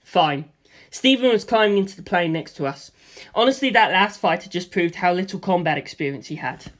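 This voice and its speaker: Steady first-person story narrator. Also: mid-range voice, a little fast. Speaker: audiobook reader.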